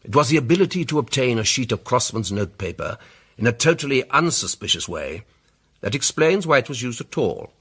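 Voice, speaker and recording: An actor playing a pompous, self-assured English barrister sleuth in a radio drama, speaking with rhetorical, deliberate emphasis. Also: male voice, mid-range voice, sing-song, fairly bright tone, husky, exaggerated voice. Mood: assured, oratorical. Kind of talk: radio drama, courtroom-like oratory.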